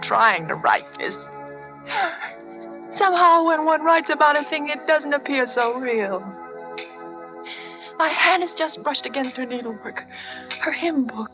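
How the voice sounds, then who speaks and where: A high, breathless voice of a terrified American spinster in old radio suspense. She falters and pleads like someone trapped. The sound is muffled, with faint music behind it. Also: exaggerated voice. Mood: desperate, distraught. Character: terrified, trapped middle-aged spinster keeping a diary.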